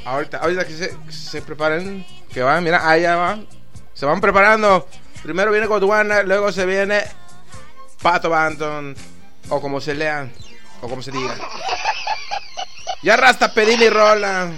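A Mexican man hosting a live online radio show, rambling through shout-outs and jokes in a chatty, loose way. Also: sits high, normal speed, long pauses. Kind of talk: casual live radio chat with greetings. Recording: faint music in the background.

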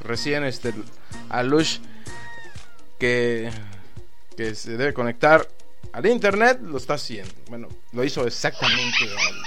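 A Mexican man hosting a live online radio show, rambling through shout-outs and jokes in a chatty, loose way. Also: medium pitch, huge pitch swings, takes it slowly, pauses often. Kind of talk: casual live radio chat with greetings. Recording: faint music in the background.

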